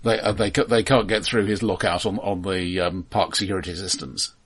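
Low, slightly husky man. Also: steady tone, a little fast, a bit bright. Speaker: film podcast co-host. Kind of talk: casual film discussion.

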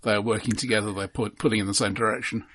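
A man co-hosting a podcast, discussing film casually and analytically in halting, self-correcting phrases. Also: lowish voice, steady tone, a bit bright, breathy edge, dry recording.

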